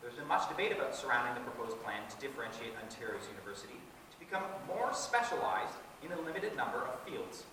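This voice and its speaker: A man's voice: medium pitch, quick pace, hardly any pauses. A man moderating a university panel discussion, introducing speakers and laying out the schedule with joking asides. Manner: lively, joking asides while reading introductions. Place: large lecture hall. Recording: echoey hall from far off, faint hiss.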